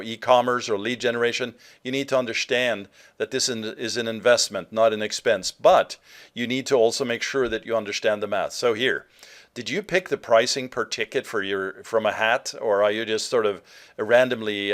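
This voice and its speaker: Male webinar presenter from Norway, medium pitch, conversational sales-teaching delivery with hesitations and fillers. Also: fairly bright tone. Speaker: presenter, digital marketer.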